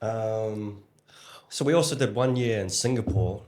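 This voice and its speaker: Low-voiced New man chatting casually. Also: a little slow, darkish tone. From New Zealand. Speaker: interview guest, content creator. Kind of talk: casual chat.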